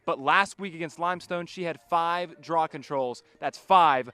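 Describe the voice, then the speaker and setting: High-voiced young male sports reporter. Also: a little slow, bright, forceful tone, clean tone, no room sound. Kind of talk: prepared stand-up sports report.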